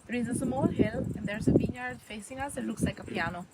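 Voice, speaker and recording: A woman giving a measured, descriptive vineyard tour. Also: on the high side. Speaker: winery guide. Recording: loud background noise.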